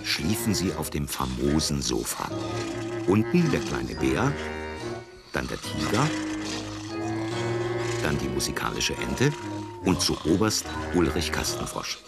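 A man narrates a children's story in an extremely low, creaky voice. His delivery is slow and expressive, over prominent music.

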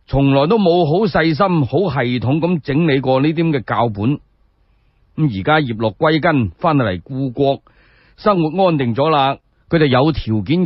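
A man with a Cantonese accent telling a radio story solo, in expressive, colloquial, explanatory narration. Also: a little high, slightly rough, no room sound. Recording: slightly dull, lo-fi, dry sound.

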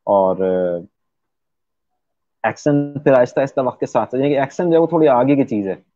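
A man's voice: medium pitch, animated, warm tone, no echo. Man on a tinny line. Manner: explains patiently, with frequent "uh" pauses and fillers. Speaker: English teacher, interview guest. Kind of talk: casual explanatory interview talk. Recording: dry, tinny phone-like line.

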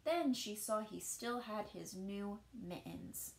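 A woman in her thirties reads a picture book aloud to children like a teacher, with deliberate, expressive emphasis on key words. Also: medium pitch.

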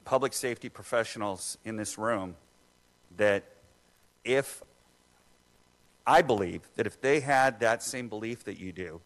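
A man's voice: on the low side, unhurried, pauses often, low-quality recording. A man making unscripted, argumentative remarks as an official at a public meeting.

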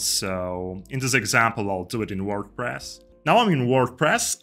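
A young man giving a casual step-by-step software tutorial, speaking into a close desk microphone indoors. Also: lowish voice. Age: young adult. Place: indoor room. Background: music.

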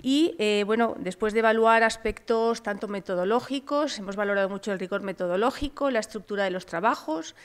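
Middle-aged female prize presenter, smooth clear voice, semi-formal announcement a podium. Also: mid-range voice, brisk, hardly any pauses. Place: auditorium stage at a conference. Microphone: gooseneck podium microphone.

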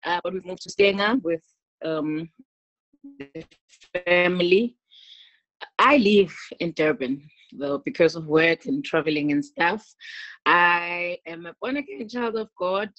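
A South African woman in her thirties talking casually about her life on a video call, chatty and quick to laugh. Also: lowish voice, fairly bright tone, no room sound, lo-fi sound. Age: 30s. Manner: chatty, laughs often, many restarts. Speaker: interview guest, gospel singer. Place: small room at home. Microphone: laptop webcam on a video call.

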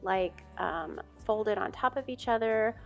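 A relaxed woman in her thirties walks through a simple home project step by step, with easy fillers along the way. Her voice has a gravelly edge, and soft music sits faintly behind her. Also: mid-range voice, a little slow. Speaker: home vlogger. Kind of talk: casual tutorial voice-over.

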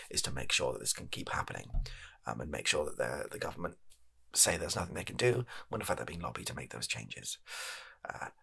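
A man's voice: extremely low pitch, gravelly, pushed and bright, breathy edge, voice pushed down, exaggerated voice. A British man playing a flustered, shifty energy company chief, bumbling and evasive, in an improvised comedy sketch. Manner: bumbling, evasive, halting, put-upon. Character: flustered, shifty male energy company CEO recording an address.

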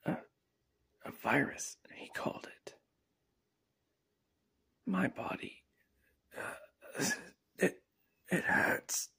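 A man playing a frightened, weakened captive reporter in a whispered ASMR horror drama, halting and melodramatic, with a breathy, raspy voice. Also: sharp tone. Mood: dazed, pained. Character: frightened, captured male reporter, weakened and in pain.

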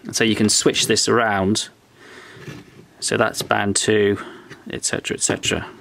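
A man talking through a piece of old electronics at his workbench, explaining each part step by step as he thinks aloud in a relaxed way. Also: lowish voice, moderate pace, creaky. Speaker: electronics restorer. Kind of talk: hands-on show-and-tell. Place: workbench indoors.

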